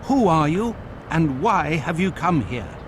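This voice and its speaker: Excitable, loyal Victorian gentleman sidekick in a game. Highish pitch, very lively intonation, formal wording, noticeable noise. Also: a little slow. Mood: indignant. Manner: formal, animated, indignant. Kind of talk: video game dramatic acting.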